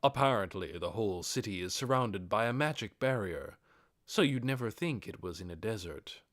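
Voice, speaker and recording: Lively male audiobook narrator. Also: fairly low pitch, moderate pace. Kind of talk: scripted fantasy narration.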